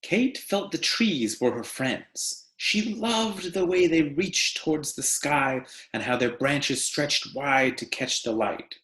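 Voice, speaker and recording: A man reads to young students like a teacher at story time. His pitch moves up and down freely over slow, deliberate phrases. The room adds a noticeable echo around his voice. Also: expressive, a bit bright. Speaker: teacher reading aloud to students. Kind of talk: children's read-aloud with casual asides.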